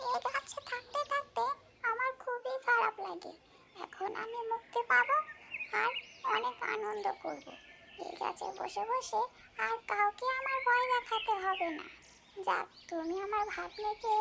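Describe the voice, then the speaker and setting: Cartoon tree spirit, female: extremely high childlike pitch, gravelly edge, exclamatory delivery. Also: a little slow, full character voice. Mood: relieved, tender. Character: female tree ghost, regretful, easily exasperated, childlike high voice. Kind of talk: cartoon fairy-tale voice acting. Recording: noisy, birdsong ambience behind.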